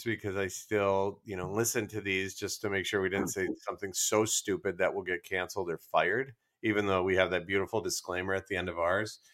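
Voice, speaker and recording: A male podcast co-host with a Midwestern American accent speaks in casual, joking banter. His voice sits a little low and has a bright, forceful edge. He likes to tease as he talks. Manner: teasing.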